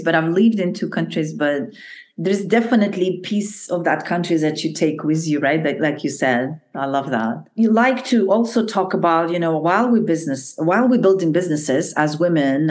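A woman hosting an interview podcast, affirming her guest and asking long questions. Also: sits low. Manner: warmly affirming, repeats praise. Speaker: podcast host, network founder.

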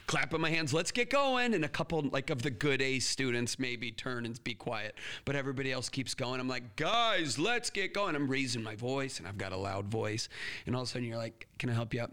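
A young man hosting a podcast interview, telling stories with lively acted-out quotes. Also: mid-range voice. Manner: animated storyteller, acts out quotes. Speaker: podcast host.

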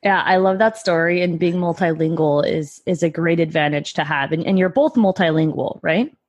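A woman's voice: fairly low pitch, moderate pace, dry recording. A woman hosting a podcast interview, upbeat and encouraging with her guests. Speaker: podcast host.

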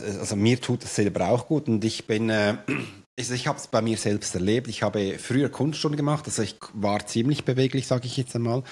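A man hosting a podcast, hoarse from a cold, chatting in a self-deprecating way with personal stories. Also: fairly low pitch. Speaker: podcast host. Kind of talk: podcast conversation.

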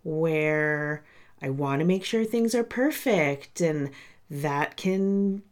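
A woman's voice: sits low, lively intonation, takes it slowly. A woman hosting her own podcast in a chatty, candid way, her voice nasal and congested from a sinus cold. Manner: chatty and candid, speaks to listeners directly. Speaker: podcast host and coach. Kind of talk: casual solo podcast monologue.

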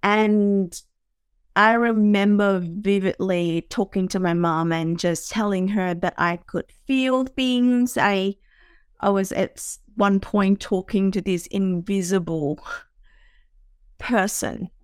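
A woman's voice: medium pitch, slow pace, clean tone, no echo, clean studio sound. A woman from Vietnam, hosting a podcast, talking reflectively about her own life in a searching way with fillers and restarts. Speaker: podcast host. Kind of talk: reflective personal talk.

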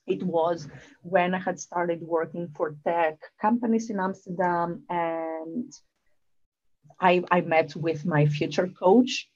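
A woman's voice: lowish voice, darkish tone, lo-fi sound. A woman with a Southern European accent, a non-native English speaker, talking as a coach in a conversational podcast interview. She explains things step by step, often posing questions and answering them herself. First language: not English. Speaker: guest, leadership coach.

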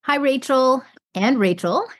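A woman's voice: high-pitched, lively intonation, a little slow, no room sound, studio quality, soft background music. A woman hosting a podcast, speaking in a scripted presenter style and interviewing guests. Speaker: podcast host and psychologist.

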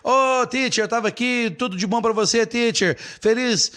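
A man's voice: very high-pitched, normal speed, fairly bright tone, pitched above the performer's own voice. A man voicing an effusive adult student who fondly greets the teacher in a singsong, raised voice. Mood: gushing.

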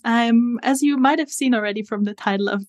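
A woman's voice: on the high side, fairly quick. Woman, 20s, italian accent, podcast guest, methodical explanations, filler words. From Italy. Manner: explains step by step, frequent fillers. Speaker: social media strategist guest. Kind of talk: podcast interview.

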